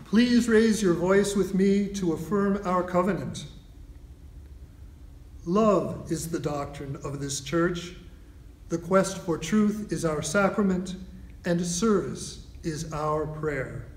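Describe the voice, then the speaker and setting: A man giving a solemn ceremonial church reading as a worship associate, in measured, declamatory phrases. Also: sits high. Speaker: worship associate leading chalice lighting. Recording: echoey room.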